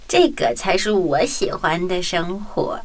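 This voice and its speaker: A woman's voice: lowish voice, sing-song, a little slow. A woman playing a timid, homesick young country mouse in a children's audio drama. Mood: content.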